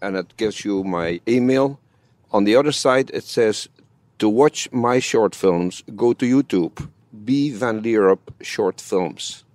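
A man's voice: on the low side, takes it slowly, darkish tone, no room sound. A man in his seventies with a Dutch accent, chatting casually as a guest in a matter-of-fact way, in slightly broken English. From Netherlands. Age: 70s. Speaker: guest, amateur film actor. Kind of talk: casual conversation.

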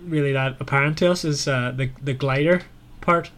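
A man's voice: mid-range voice, moderate pace, a bit bright. A man hosting a casual book discussion in a conversational, questioning way. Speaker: podcast host.

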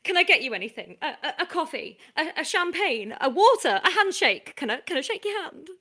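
Woman doing a stammering starstruck warehouse girl, high, bright. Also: moderate pace. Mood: fawning. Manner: gushing, stammering, giggly. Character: fawning then sneering female warehouse worker. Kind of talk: improv comedy scene.

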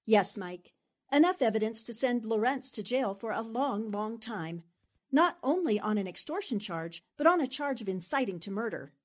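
Wry newspaperwoman, played by an actress, slightly high voice, knowing, composed, vintage radio drama delivery. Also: lively intonation, normal speed. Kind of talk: 1940s radio drama acting.

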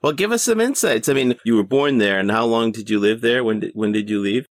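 A man's voice: medium pitch, animated, a little fast, dry recording, clean studio sound. A man hosting a podcast, interviewing in a casual, conversational style. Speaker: podcast host.

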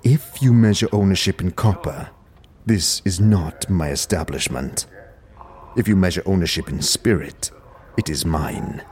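A man playing a solemn, heavy-set dustman with a stony voice and formal, measured speech in a fantasy audio drama. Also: low voice, a little mellow, slight background noise. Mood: grave, solemn. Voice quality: gravelly, stony.

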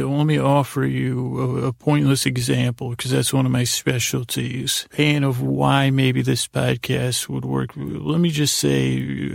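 Really creaky gravelly guy doing a sleepy rambly bedtime podcast, lulling, lots of pauses, close dry sound, music under it. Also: medium pitch, no room sound. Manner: meandering, halting, with pregnant pauses; lulling, soothing. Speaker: podcast host.

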